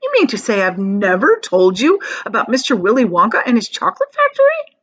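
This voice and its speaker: A woman's voice: a little high, wildly swooping pitch, a little fast, dull audio. A woman voicing an eager, emphatic ninety-six-year-old grandfather in a children's storybook read aloud. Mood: eager, fond.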